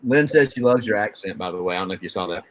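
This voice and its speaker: A man's voice: on the low side, animated, very fast. A man co-hosting a casual live chat, bantering and teasing in short conversational remarks. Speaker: co-host of a live chat show.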